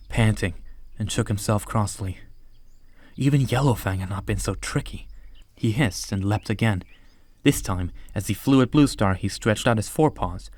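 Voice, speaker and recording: A man's voice: fairly low pitch, dry recording. A man narrating an audiobook story from a script.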